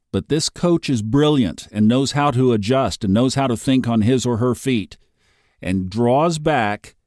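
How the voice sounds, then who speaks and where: An upbeat man in his fifties talks through his daily astrology podcast in an easy, chatty way. His voice sits at a middle pitch, and it is recorded close and dry with no room sound. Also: darkish tone, no echo. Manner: chatty, upbeat, laughs easily. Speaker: podcast host.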